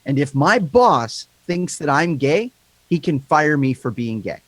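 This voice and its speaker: A man with a higher-pitched voice and a slight lisp, talking candidly and rambling in a casual podcast chat, swearing freely. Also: sing-song, measured pace, a bit bright, dry recording.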